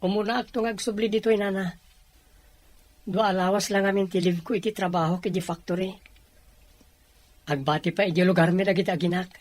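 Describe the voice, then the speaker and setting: A woman's voice: mid-range voice, fairly even intonation, brisk, long pauses, a little mellow, dry recording, close to an everyday voice. A woman playing a polite, friendly factory worker in an audio drama.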